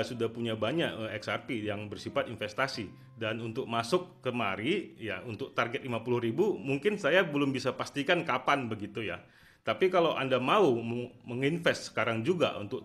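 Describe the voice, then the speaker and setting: A man's voice: mid-range voice, a bit bright. A man giving casual, conversational market commentary as a video host, often ending phrases with a tag word. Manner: conversational, repeatedly tags phrases with "ya".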